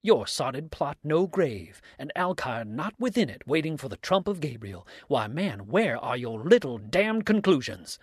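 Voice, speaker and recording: A man's voice: medium pitch, expressive, moderate pace, darkish tone, no echo, close to an everyday voice. A defiant villain from a storybook, acted out by a male reader, sneering and theatrical. He speaks in mocking, sarcastic exclamations, in a closely recorded, dry sound. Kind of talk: dramatic storybook reading.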